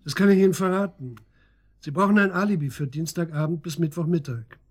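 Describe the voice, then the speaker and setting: Radio drama casting: probing detective, breathy rough edge, lightish pitch, persistent and businesslike. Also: male voice, brisk. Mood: insistent, matter-of-fact. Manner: matter-of-fact, probing, insistent. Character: persistent, matter-of-fact male police detective interrogating a suspect. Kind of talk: naturalistic German radio drama acting.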